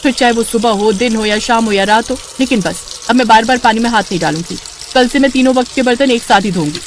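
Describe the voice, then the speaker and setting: A lazy, defiant young daughter-in-law acted by a woman in a cartoon family tale. She grumbles and whines in a fairly high voice, with faint noise behind her. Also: fast talker, natural voice. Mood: grumbling. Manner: whiny, complaining. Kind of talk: Hindi cartoon family moral story.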